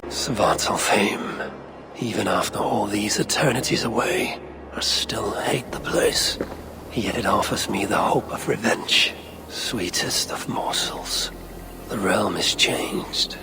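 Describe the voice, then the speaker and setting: An actor playing a proud, vengeful, brooding warrior god in a video game cutscene, with grand archaic phrasing. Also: male voice, fairly low pitch, slow pace, loud music behind the voice. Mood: bitter, brooding.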